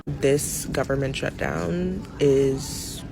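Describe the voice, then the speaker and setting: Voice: medium pitch, fairly even intonation, very slow, vocal fry, music in the background. An exasperated airline passenger giving a first-person video update from an airport. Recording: noisy airport ambience.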